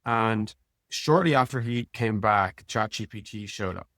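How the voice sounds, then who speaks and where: Low-pitched man, dry close sound. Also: unhurried, a bit bright, dry recording. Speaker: interview guest, software company executive. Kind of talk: casual conversational interview answers.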